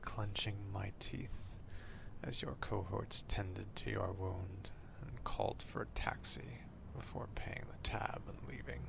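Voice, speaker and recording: A man voicing an obsessive, courtly vampire stalker in an ASMR roleplay, speaking formally and deliberately in a confiding, half-whispered way. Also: low-pitched, vocal fry, background noise, exaggerated voice. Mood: hungry, restrained.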